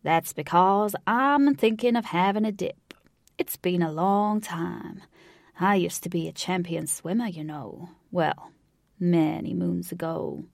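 A woman voicing a large, folksy, jovial elderly man with a slow Southern American cowboy drawl in an audiobook story. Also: on the low side, vocal fry, darkish tone, dry recording, studio quality, exaggerated voice. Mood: wistful, easygoing.

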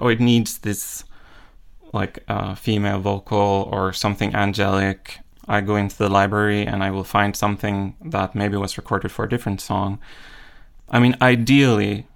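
A man with a fairly low voice and a gravelly fry talks reflectively in an interview. He is thoughtful, feeling his way through each idea as a musician, with plenty of conversational "like". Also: a bit bright. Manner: thoughtful, searching, often says "like". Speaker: interview guest, ambient musician. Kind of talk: reflective casual interview talk.

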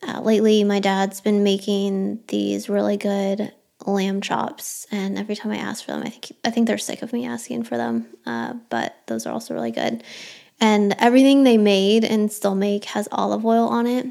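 A woman's voice: medium pitch, moderate pace, creaky, darkish tone. A young adult woman hosting her own podcast, chatting casually and rambling through listener questions with a self-deprecating touch. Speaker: podcast host.

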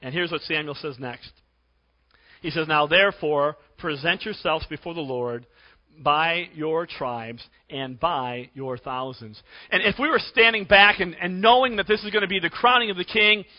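A pastor preaching a sermon to his congregation while losing his voice, often clearing his throat. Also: fairly high pitch, normal speed. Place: church sanctuary. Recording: dull recording in a reverberant church.

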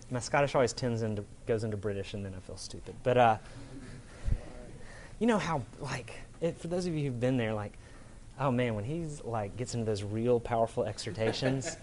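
Male pastor, medium pitch, informal conversational sermon delivery, colloquial phrasing, recorded with audible background noise. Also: normal speed. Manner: casual, colloquial, checks in with "right?". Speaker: pastor preaching.

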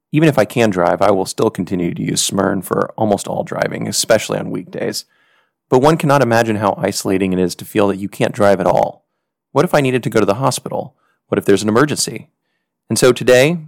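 A man's voice: on the low side, creaky, no room sound, studio quality. A man hosting a podcast, telling a personal story in earnest, reflective scripted narration. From United States. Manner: earnest, reflective, measured delivery. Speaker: podcast host.

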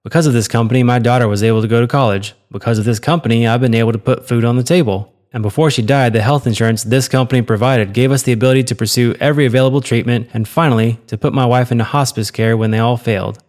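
A man's voice: mid-range voice, steady tone, fast talker, hardly any pauses, a little mellow, natural voice. A man voicing an earnest, loyal middle-aged office worker in a read-aloud story. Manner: earnest, pleading.

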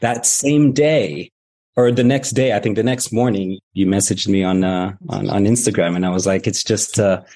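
A Canadian man talking as a podcast guest, reflective and quick to laugh. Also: fairly low pitch, fairly even intonation. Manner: warm, reflective, laughs easily. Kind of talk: casual interview talk.

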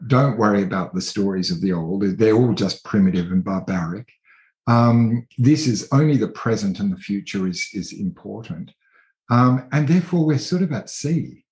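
Reflective Australian man, low voice, echoey room. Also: animated, moderate pace, darkish tone. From country New South Wales, Australia. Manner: thoughtful, hesitant, many fillers and restarts. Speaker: interview guest, artist and researcher. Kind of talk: reflective conversational interview.

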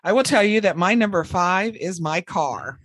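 A woman in her sixties with a hoarse voice from a cold, chatting casually as a podcast co-host and laughing between phrases. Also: on the low side, animated, normal speed, fairly bright tone, no echo.

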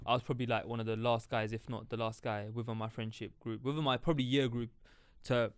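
A man's voice: medium pitch, flat delivery, quick pace, smooth, dry recording. Rambling young man, close and dry. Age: 20s. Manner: rambling, reflective, many fillers. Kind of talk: casual chat.

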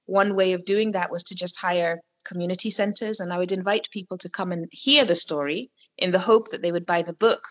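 A Kenyan-raised woman who works as a storyteller, talking in a relaxed interview with many restarts. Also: lowish voice. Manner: warm, reflective, with frequent restarts and laughs. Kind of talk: conversational interview. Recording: dull lo-fi audio.

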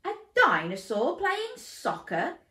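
A woman's voice: high-pitched, big pitch swings, takes it slowly, bright, forceful tone, pitched above the performer's own voice, exaggerated voice. A woman doing a sputtering dinosaur dad. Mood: flustered. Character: flustered, blustering dinosaur dad. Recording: roomy echo.

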